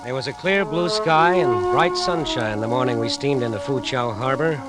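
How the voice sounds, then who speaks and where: An actor playing an exasperated, sardonic ship's first mate in 1940s radio drama style, salty and incredulous. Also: male voice, mid-range voice, normal speed, lightly acted. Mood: wry storytelling. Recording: 1940s radio recording with music.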